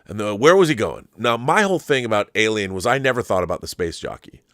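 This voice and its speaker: Low-voiced man, emphatic and rambling, dry sound. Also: expressive, fast talker, fairly bright tone, no room sound. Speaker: host of a pop culture live show. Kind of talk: casual opinionated monologue answering viewer questions.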